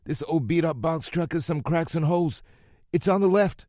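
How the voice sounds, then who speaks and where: A man's voice: fairly high pitch, moderate pace, darkish tone, no echo, studio quality, pitched above the performer's own voice, lightly acted. A man voicing a frightened young woman held captive, pleading in a raised, tearful voice. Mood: desperate.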